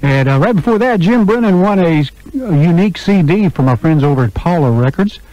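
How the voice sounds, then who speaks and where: A man's voice: on the high side, animated, a little fast, warm tone, no room sound. A man hosting an oldies radio show with upbeat, chatty, informal announcer patter into a studio microphone. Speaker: radio DJ and interviewer. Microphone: close broadcast microphone. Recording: dull, lo-fi radio aircheck tape.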